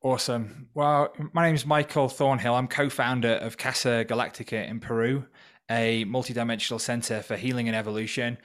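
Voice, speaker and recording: A man's voice: mid-range voice, fairly bright tone. Give me a reflective man from North Wales in his thirties, speaking openly as a podcast guest. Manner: earnest, reflective, open about hard past. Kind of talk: personal story in a podcast interview. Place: temporary remote location.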